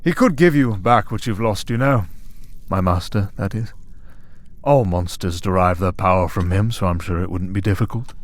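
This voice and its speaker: A man playing a smug, tempting servant of a dark master in an ASMR audio drama, speaking in a deliberate, taunting, persuasive way. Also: low voice, natural voice. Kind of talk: ASMR audio roleplay acting.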